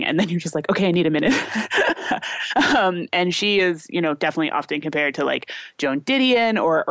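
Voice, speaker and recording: A woman's voice: low voice, no echo. A young woman recommending books in a casual chat, enthusiastic and chatty with lots of "like" and "um". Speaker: book recommender.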